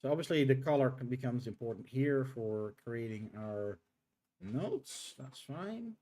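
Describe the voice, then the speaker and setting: A middle-aged man with a tired throat, streaming live game development and talking through his code in halting, unhurried steps. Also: mid-range voice, lively intonation, mellow, a little hiss or hum. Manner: halting, thinks aloud with many pauses and um's. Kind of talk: live coding stream, thinking aloud.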